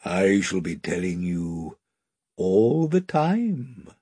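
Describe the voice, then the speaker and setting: A man voicing a great, wise male lion who speaks gravely, slowly and tenderly. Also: low voice, big pitch swings, dark tone, no echo, clean studio sound, voice pushed down, heavily acted. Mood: solemn tender.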